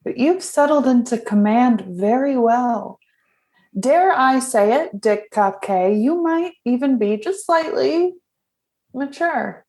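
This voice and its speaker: A woman's voice: a little high, animated, slow pace, warm tone. A woman playing a self-assured, blunt and teasing old friend in an audio drama, with a wry, direct delivery. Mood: teasing, fond.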